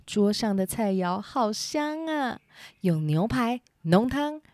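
A woman's voice: on the high side, very animated, slow, a little mellow, no room sound, studio quality. A woman hosting and narrating a story for children. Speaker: storyteller, host. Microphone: close, simple home recording.

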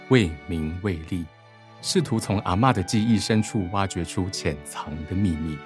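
A man narrating a radio drama from a script, measured and dramatic. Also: low-pitched. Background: music.

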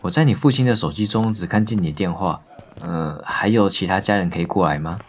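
A man's voice: sits low, brisk, slight background noise, lightly acted. An actor in an audio drama playing a composed, considerate male police officer. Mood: composed, sympathetic. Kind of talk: modern Mandarin audio drama acting.

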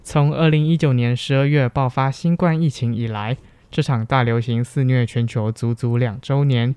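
A man's voice: medium pitch, fairly even intonation, moderate pace, darkish tone, smooth, no room sound. A young adult man with a Taiwanese Mandarin accent reading scripted student campus news.

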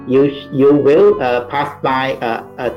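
Middle-aged Malaysian-accented academic guest, halting and filler-heavy, with soft music behind. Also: male voice, medium pitch, steady tone, lo-fi sound. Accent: Malaysian English. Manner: halting, many "uh" fillers and "okay" tags. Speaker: university department chair, guest. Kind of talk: interview conversation.

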